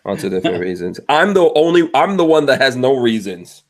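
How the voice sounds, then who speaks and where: Man from guyana, casual podcast banter, jokey, mid-pitched voice. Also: sing-song, fairly quick. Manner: joking, laughs often. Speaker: podcast co-host. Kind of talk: casual chat.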